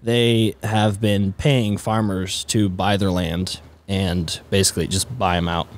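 A man in his twenties from the Netherlands, a native Dutch speaker, chatting casually and haltingly as a podcast guest. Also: sits low, level tone, slight background noise. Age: 20s. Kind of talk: casual conversational storytelling. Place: indoors, garage-like studio. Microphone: close podcast mic on boom arm.

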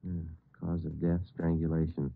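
An actor playing a matter-of-fact police detective who narrates and talks in a clipped, deadpan way in an old radio procedural. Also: male voice, rumbling low, normal speed, vocal fry, a little hiss or hum. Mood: businesslike. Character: brisk, businesslike police detective sergeant and narrator. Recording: tinny old radio broadcast, music behind.

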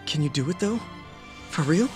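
A man's voice: on the high side, animated, normal speed, music in the background, lightly acted. Subdued, weary male prisoner played by a man. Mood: hesitant hope. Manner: hesitant, subdued. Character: weary, downcast, wrongly imprisoned man. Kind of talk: video game cutscene acting.

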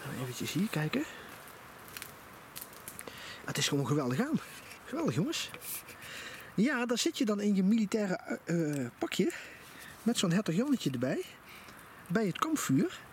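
A man's voice: high-pitched, wildly swooping pitch, moderate pace, pauses often. A man giving enthusiastic, casual vlog commentary outdoors, recorded on a handheld camera. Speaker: vlogger. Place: outdoors in a forest at night by a campfire. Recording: noisy outdoor camera audio.

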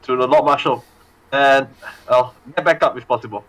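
A man's voice: mid-range voice, fairly even intonation, moderate pace, a bit bright, rough recording. A man playing in an online tabletop game, joking and exclaiming. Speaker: player.

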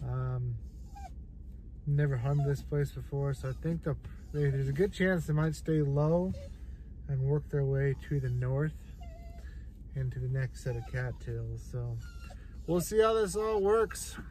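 A man's voice: mid-range voice, a little slow, long pauses. A man in his thirties talking casually in a self-filmed hunting vlog, recorded on a head-mounted camera in a truck and out in the field. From South Dakota. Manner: plain-spoken, easygoing, rural talk. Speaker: hunting vlogger. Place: inside a pickup truck, then outdoors on windy snowy prairie. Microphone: head-mounted action camera. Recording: loud wind buffeting the mic. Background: strong wind noise.

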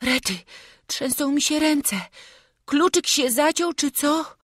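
A woman's take on storybook servant boy, high voice, whiny, anxious, reluctant, lively pitch. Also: normal speed, no room sound, clean studio sound. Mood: panicked. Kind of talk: audiobook storytelling.

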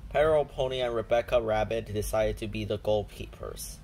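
A woman narrating a children's storybook aloud in a deliberate, simple storytelling manner. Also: very deep voice, dark tone, slight background noise.

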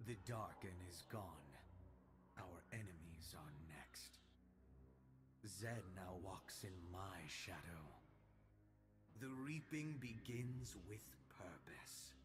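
A man voicing an arrogant, ruthless, brooding shadow assassin in a video game, with cold, measured, menacing proclamations. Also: low-pitched, animated. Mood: grandiose, self-assured. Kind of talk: theatrical video game voice lines. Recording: game clips over loud music.

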